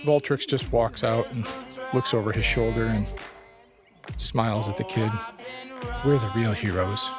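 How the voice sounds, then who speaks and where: A man's voice: lowish voice, fairly even intonation, unhurried, pauses often, music in the background. A man casually narrating and chatting as a player in a tabletop game.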